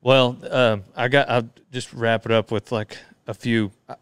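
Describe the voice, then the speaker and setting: A young man hosting a podcast, polite and easygoing, answering with "yes, sir" and asking quick questions. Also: medium pitch, flat delivery, smooth, no echo, studio quality. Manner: polite, drawling fillers, says "yes, sir". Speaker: podcast host. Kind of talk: casual interview chat.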